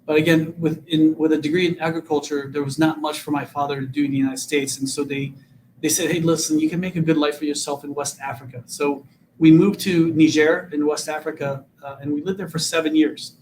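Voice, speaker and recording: A man's voice: a little high, steady tone, fairly quick, a little mellow, a little hiss or hum, rough recording. A man in his fifties, originally from Vietnam, speaking earnestly as an interview guest and often repeating words as he starts sentences. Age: 50s. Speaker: guest, political candidate.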